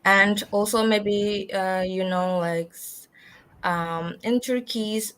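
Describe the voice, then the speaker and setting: Halting young Indonesian woman on a video call. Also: mid-range voice, fairly even intonation, a bit bright, smooth. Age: young adult. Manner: halting English, frequent "like" and "um" fillers. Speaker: guest student. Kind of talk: casual online interview.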